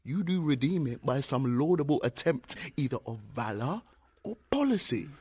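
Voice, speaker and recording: An actor playing a sly, witty conspirator in a Shakespeare radio drama, articulate, persuasive and mock-earnest. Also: male voice, medium pitch, big pitch swings, normal speed, darkish tone, husky, no room sound. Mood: mock-earnest goading. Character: sly, witty male conspirator flattering a foolish knight.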